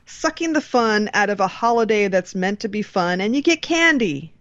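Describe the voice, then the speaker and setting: A woman's voice: mid-range voice, animated, moderate pace, a crowd in the background. A woman hosting a podcast in casual chat, with an easygoing, agreeable way of talking. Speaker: podcast host. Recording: dull, dry lo-fi mic.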